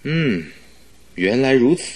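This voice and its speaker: An actor playing a detective's good-natured, curious companion in a radio drama, with a husky voice and a hesitant, questioning manner. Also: male voice, mid-range voice, expressive, slow, a little mellow. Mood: thoughtful agreeing. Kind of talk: Chinese radio drama acting.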